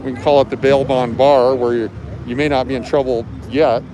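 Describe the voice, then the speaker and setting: A man's voice: medium pitch, little pitch movement, fairly quick. A man hosting a casual walking livestream outdoors on busy streets, talking into a handheld camera as he goes. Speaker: livestream host. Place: outdoors on city sidewalks at night, by traffic. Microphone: handheld camera or phone while walking. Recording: street traffic and live music behind.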